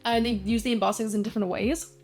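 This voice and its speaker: Chatty young woman with a higher voice. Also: steady tone, quick pace, a little mellow, faint music behind. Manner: chatty, many fillers, self-deprecating asides. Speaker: design vlogger. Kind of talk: casual commentary on brand design.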